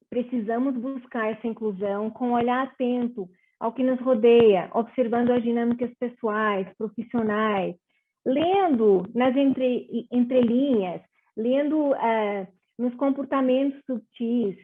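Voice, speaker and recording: A woman from Portugal with a Portuguese accent tinged with Brazilian tones, moderating a panel in an earnest, measured way with small hesitations. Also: fairly high pitch, husky. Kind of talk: reflective panel talk. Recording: phone-like video call audio.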